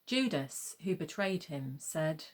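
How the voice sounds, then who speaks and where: Female narrator for a scripted gospel reading, voice on the low side. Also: animated, takes it slowly.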